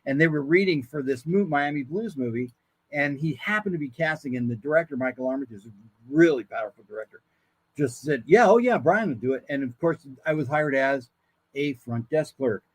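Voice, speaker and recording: Older American man telling animated stories. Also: a little high, a little fast, slightly rough, dry recording, rough recording. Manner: animated, acts out quoted dialogue. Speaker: guest voice actor. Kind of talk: casual anecdotal storytelling.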